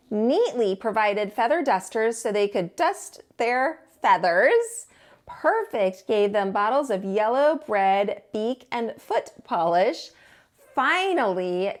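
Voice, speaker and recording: A woman narrating a children's storybook as a storytime teacher, in an expressive read-aloud style. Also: fairly high pitch.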